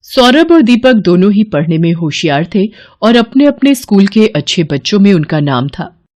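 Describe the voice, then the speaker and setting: A woman's voice: mid-range voice, very animated, moderate pace, a little mellow. A woman reading a scripted children's story as its storyteller.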